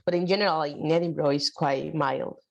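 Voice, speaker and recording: A woman's voice: low-pitched, steady tone, fairly quick, gravelly, lo-fi sound. Chatty woman from Mexico City. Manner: chatty, many fillers and laughs. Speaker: workshop participant. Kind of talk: casual chat.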